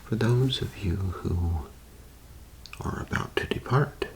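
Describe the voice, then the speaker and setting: A man whispering in a breathy, creaky voice, playing a polite, unhurried clerk in an ASMR role play. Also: lowish voice, a little mellow. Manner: unhurried, polite customer-service patter.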